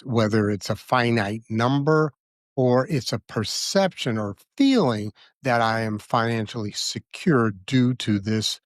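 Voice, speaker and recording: A man's voice: on the low side, no echo, studio quality. Earnest man in his sixties. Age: 60s. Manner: earnest, measured, with frequent fillers. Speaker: podcast guest. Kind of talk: reflective conversational interview.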